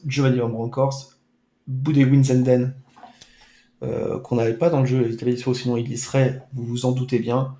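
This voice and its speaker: A man's voice: mid-range voice, dark tone, roomy sound. A man streaming a retro football game, chatting casually with playful, mock-dramatic asides. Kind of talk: casual let's-play chat.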